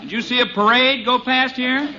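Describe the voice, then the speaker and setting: An actor playing an earnest, clueless drill team leader with a military bearing in old-time radio comedy. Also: male voice, very high voice, fairly even intonation, moderate pace. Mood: sheepish, polite. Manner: barking military commands, earnest. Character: drill team leader barking orders, politely lost and clueless. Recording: dull, hissy 1950s radio recording.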